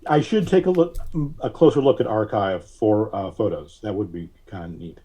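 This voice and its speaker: A man's voice: on the low side, animated, moderate pace, mellow, husky. A man chatting casually as a guest in a podcast interview.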